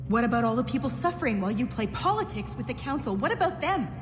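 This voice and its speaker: A pushy news reporter from a video game. She speaks with pointed, impassioned insistence at a medium pitch. Her voice rings in a large hall, with music clearly behind it. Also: sing-song, fast. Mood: accusing. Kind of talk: dramatic video game dialogue.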